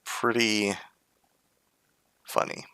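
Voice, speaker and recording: A man's voice: on the low side, flat delivery, extremely slow, pushed and bright, clear, resonant tone, dry recording. A man streaming a game, reading its text aloud in many voices and chatting playfully about his choices. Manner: reads game characters' lines in varied voices, playful and chatty.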